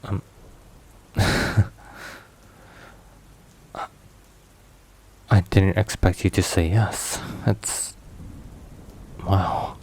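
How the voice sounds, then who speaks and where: A man playing a brooding but tender mafia boss boyfriend in an intimate ASMR roleplay, murmuring haltingly with hushed pauses. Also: low-pitched, husky, exaggerated voice. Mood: touched, awkward. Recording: rain and thunder behind voice. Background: rain and thunderstorm ambience.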